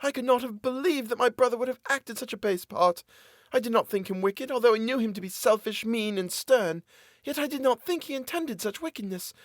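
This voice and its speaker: A man voicing a frightened young woman locked in an asylum, pleading in a high, desperate voice. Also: fairly quick, dry recording, clean studio sound. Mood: bitter.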